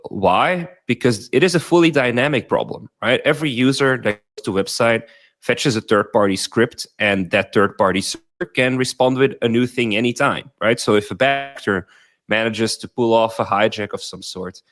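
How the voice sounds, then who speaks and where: A man's voice: on the low side, a bit bright. A man from Belgium, a company founder giving fluent explanatory answers as an interview guest, often checking in with "right?".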